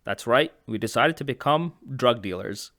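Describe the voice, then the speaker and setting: A man's voice: mid-range voice, animated, normal speed, sharp tone, no room sound. A man from Egypt narrating a comedic childhood story, with rambling joking asides. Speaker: animation channel host.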